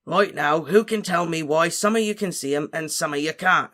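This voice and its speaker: A man voicing a huge, kindly male gamekeeper who talks in a hearty, rough country dialect. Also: on the high side, moderate pace, bright, forceful tone, voice pushed up. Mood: cheerful.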